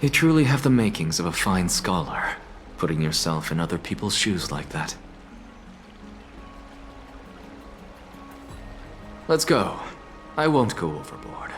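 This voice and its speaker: Low-voiced, haughty scholar. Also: male voice, sing-song, soft background music. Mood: wry, defiant. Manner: pompous, measured, sardonic. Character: proud male scholar professor, aloof, sardonic, defiant. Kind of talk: dramatic video game acting.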